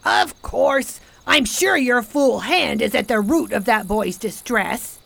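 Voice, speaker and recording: A woman playing a protective, no-nonsense guardian in a radio play, brisk and scolding. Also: fairly high pitch, creaky, a bit bright, exaggerated voice. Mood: exasperated. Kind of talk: radio theater acting.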